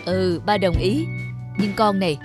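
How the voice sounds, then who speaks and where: A man playing a stern, proud middle-aged police officer father in an animated drama, with an emphatic, lecturing manner. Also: high-pitched, measured pace, over music. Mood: gentle. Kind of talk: animated moral drama acting.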